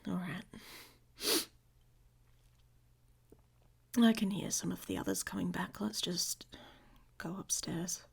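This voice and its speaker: A woman playing a gruff but protective werewolf in an intimate ASMR romance roleplay, speaking bluntly and haltingly in a husky voice that often drops to a whisper. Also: on the low side, steady tone, exaggerated voice. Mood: hushed, guarded. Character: gruff, grumpy, protective she-wolf shifter woman, secretly affectionate.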